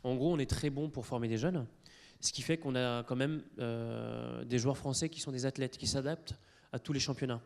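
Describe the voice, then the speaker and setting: Male panelist from Italy, mid pitch, halting explanatory delivery in a lecture hall. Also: vocal fry, a little mellow. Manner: hesitant, many fillers and restarts. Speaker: conference panelist. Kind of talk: explanatory talk to an audience.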